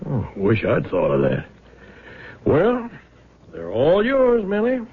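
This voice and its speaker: An actor playing a dry, stubborn, honest older man in a 1970s radio drama, speaking in a measured, sardonic way. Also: fairly high pitch, wildly swooping pitch, phone-quality sound, background noise, exaggerated voice. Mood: wry, resigned. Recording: thin, band-limited vintage radio recording.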